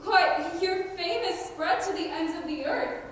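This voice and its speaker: A teenage girl playing an eager, starstruck young woman joining an outlaw band, speaking earnestly in a high school stage play. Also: expressive, unhurried. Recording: distant, echoey hall with background noise.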